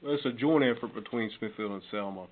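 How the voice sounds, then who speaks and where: A man's voice: lowish voice, quick pace, narrow, tinny audio. A man answering questions in a budget meeting in an explanatory, matter-of-fact way, as a fire chief. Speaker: fire chief reporting to council. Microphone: conference call. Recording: phone-band conference call, noisy, clicks.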